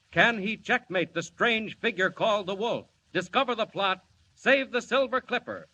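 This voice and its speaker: A stirring radio serial announcer, declamatory and full of suspense. His voice is raised and forceful, heard on a dull, slightly hissy 1940s recording. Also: high voice. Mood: urgent, suspenseful. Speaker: announcer and narrator.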